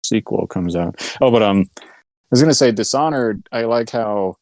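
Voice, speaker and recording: A man's voice: fairly low pitch, animated, normal speed, darkish tone, no echo. A man co-hosting a podcast, chatting casually about video games and telling anecdotes with quoted dialogue. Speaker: podcast co-host.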